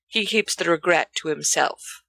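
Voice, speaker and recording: A woman's voice: lowish voice, normal speed, creaky, a bit bright, no room sound. A woman reading scripted fiction aloud as an audiobook narrator. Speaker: audiobook reader.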